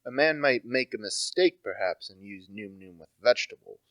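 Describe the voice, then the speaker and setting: A young man playing a precise, brooding, intellectual gentleman detective in a radio play, deliberate and theatrical in delivery. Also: fairly low pitch, expressive, no room sound. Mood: intent. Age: young adult.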